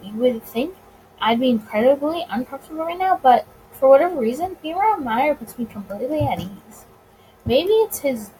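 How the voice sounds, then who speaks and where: Girl reading visual novel narration out loud, high expressive voice, trips on words a bit, some noise. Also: rough recording. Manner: stumbles over words and rereads them. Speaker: video game let's player.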